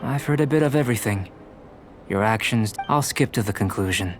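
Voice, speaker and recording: A man playing a calm, aloof young alchemist in a video game, speaking in a measured, formal, detached way. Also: fairly low pitch, natural voice. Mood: thoughtful, intrigued. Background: game music.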